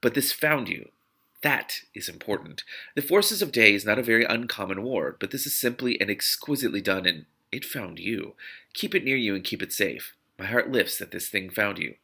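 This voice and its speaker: A man's voice: on the low side, lively intonation, moderate pace, creaky, pushed and bright, slightly rough, exaggerated voice. A man voicing a kindly, earnest woman mystic in a storybook reading, careful and instructive. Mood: solemn, earnest. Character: kindly, earnest woman mystic who crafts magic wards.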